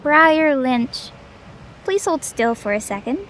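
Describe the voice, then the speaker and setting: A woman's voice: high voice, expressive, slow pace, fairly bright tone, heavily acted. A woman playing a young cave lioness huntress in an audio drama, plain-spoken and matter-of-fact. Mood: calm, reassuring. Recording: faint background noise and ambience.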